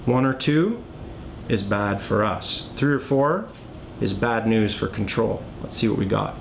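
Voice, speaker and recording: A man's voice: lively intonation, unhurried. A man giving a casual, unscripted board game tutorial, thinking aloud as he demonstrates the moves. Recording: clearly noisy, low rumble.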